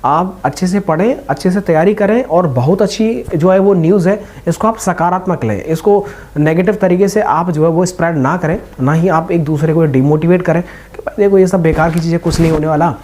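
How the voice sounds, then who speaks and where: Mellow-voiced young man giving casual advice. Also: on the high side, brisk. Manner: informal, reassuring, addressing listeners as brothers. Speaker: exam-preparation video host. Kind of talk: casual motivational advice to exam candidates.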